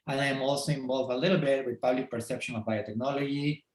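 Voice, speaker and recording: A middle-aged man with a Peruvian Spanish accent speaks in a relaxed, thoughtful way, filling gaps with small hesitations. His voice sits at an ordinary pitch and carries a noticeable echo from the room around him. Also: fast. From Peru. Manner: thoughtful, with many fillers. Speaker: guest. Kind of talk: casual interview. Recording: roomy echo.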